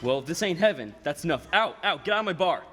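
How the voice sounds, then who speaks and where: A man's voice: on the high side, expressive, fast, a bit bright, a little hiss or hum. A teenage man acting a surly, slangy, hostile bartender in a radio play. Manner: gruff, slangy. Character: surly, hostile tough-guy male bartender. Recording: echoey hall stage recording with music.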